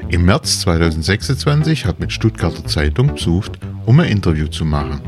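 A man's voice: low voice, very animated, a little fast. A man introducing a radio programme as its host, in a scripted presenting style. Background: prominent music.

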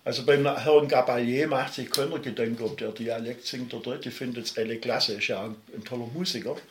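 A man's voice: mid-range voice, steady tone, brisk, a little hiss or hum. Middle-aged man, Swabian accent, emphatic, roomy echo. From Baden-Württemberg, Germany. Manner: passionate, emphatic, digressive. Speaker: musician guest. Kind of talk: interview talk.

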